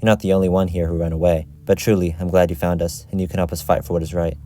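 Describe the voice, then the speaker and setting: A man voicing a principled, idealistic outcast vigilante leader in an amateur animated series, giving earnest little speeches. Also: low voice, fast talker, a little hiss or hum, close to an everyday voice. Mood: earnest, reassuring.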